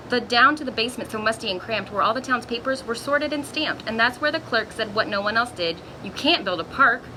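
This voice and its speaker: A woman with a fairly high voice reads a children's story aloud. She is expressive and acts out exclamations as she goes, pausing for asides to her young listeners. Clear background noise runs under the homemade recording. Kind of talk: picture-book read-aloud with asides to children.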